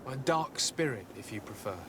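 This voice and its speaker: An actor playing a polite, measured investigating envoy in a fantasy video game, formal and composed. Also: male voice, medium pitch, lively intonation, noisy background, close to an everyday voice.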